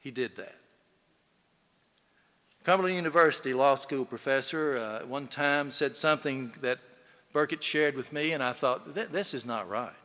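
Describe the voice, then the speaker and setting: A man's voice: mid-range voice, normal speed, bright, forceful tone. A man giving a eulogy, telling folksy anecdotes to an audience in an emphatic storytelling manner. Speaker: eulogy speaker, fellow lawyer. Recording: echoey hall with long reverb.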